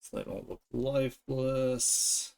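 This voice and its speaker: A man streaming his art, thinking aloud in an informal, unhurried way as he works. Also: mid-range voice, steady tone, gravelly, a little mellow. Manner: informal, unhurried musing to self while drawing. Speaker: streamer and artist. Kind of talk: casual art livestream commentary, thinking aloud.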